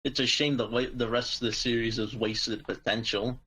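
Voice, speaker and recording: A man's voice: mid-range voice, little pitch movement, normal speed, crowd noise, poor audio. A man talking casually as a podcast panelist, with some offhand swearing. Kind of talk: casual chat.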